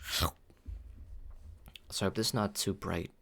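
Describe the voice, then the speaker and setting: Low-voiced playful man livestreaming. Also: fairly even intonation, a little slow, vocal fry, fairly bright tone, slightly rough. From Philippines. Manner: playful, cutesy, repeats himself. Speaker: VTuber livestreamer. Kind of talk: casual rambling livestream chat.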